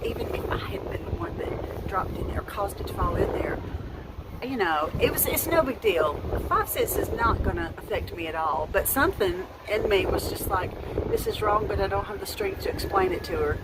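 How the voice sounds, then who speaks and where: A woman's voice: medium pitch, very noisy. A woman in her fifties, chatting casually about her thrift finds, rambling with frequent restarts. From United States. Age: 50s. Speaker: thrift haul vlogger. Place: at home.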